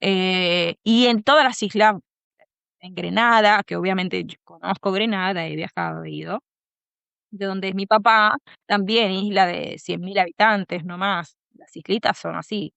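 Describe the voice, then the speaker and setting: Dominican woman being interviewed, bit of fry, dry dull mic, thinks as she talks. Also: mid-range voice, a bit bright, dry recording. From Dominican Republic. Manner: thoughtful, pauses to search for words. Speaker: guest. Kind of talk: conversational interview.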